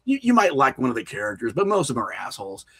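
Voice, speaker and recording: Casting: male podcast guest, mid-pitched with a slightly husky edge, casual conversational storyteller. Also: wildly swooping pitch, very fast, a bit bright. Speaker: podcast guest, horror filmmaker and writer.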